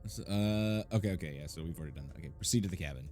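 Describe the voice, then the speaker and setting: A man with a hoarse, raspy voice giving chatty, excitable commentary while playing a video game. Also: low-pitched, very fast, faint music behind. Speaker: let's play gamer. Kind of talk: casual gameplay commentary.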